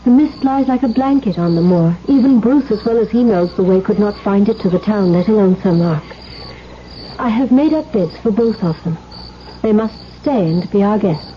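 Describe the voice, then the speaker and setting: A woman's voice: mid-range voice, moderate pace, lightly acted. An actress playing a bold, self-possessed young lady, a romantic heroine speaking formally in a 1970s radio drama. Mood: poised. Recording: muffled, noisy 1970s radio broadcast.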